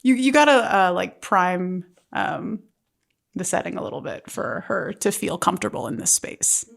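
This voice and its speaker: An actress chatting casually in a panel discussion, halting and slangy as she talks. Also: fairly low pitch, animated, gravelly. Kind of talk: casual chat.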